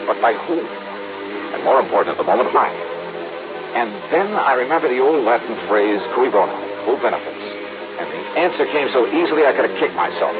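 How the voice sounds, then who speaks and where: A man's voice: medium pitch, very animated, moderate pace, over music, close to an everyday voice. An actor playing a shrewd, wry male insurance investigator in a 1950s radio detective drama. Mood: tense narration. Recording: noisy, tinny old radio recording.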